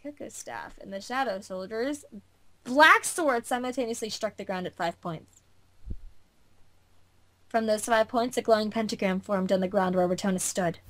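Woman reading out visual novel narration, amateur dub, mid pitch, storyteller style, stumbles a bit. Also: moderate pace, fairly bright tone. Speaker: fandub narrator.

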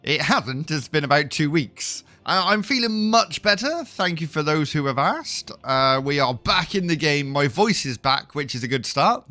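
A man's voice: on the high side, sing-song, moderate pace, few pauses, bright, forceful tone, dry recording. Chatty man with a lingering cough. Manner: chatty, self-deprecating, thinks aloud. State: recovering from a cough. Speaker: gaming video host. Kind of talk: casual gameplay commentary.

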